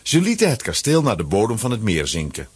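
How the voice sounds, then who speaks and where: Very husky male storyteller, lowish. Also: huge pitch swings, fairly quick, no room sound. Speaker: narrator. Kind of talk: scripted children's story narration.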